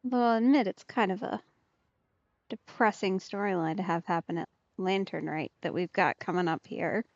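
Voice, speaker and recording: A woman's voice: fairly low pitch, normal speed, no echo, highs cut off. A woman giving casual, chatty let's play commentary, reacting aloud as a game streamer.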